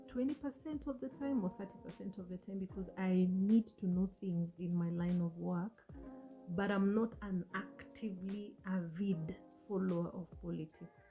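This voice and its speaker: This woman from Africa speaks English as a second language and talks earnestly to her viewers, encouraging them and often repeating or correcting herself. Her voice sits low and sounds dark and mellow. Faint music plays behind her. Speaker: financial advisor and show host. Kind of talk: motivational talk to viewers.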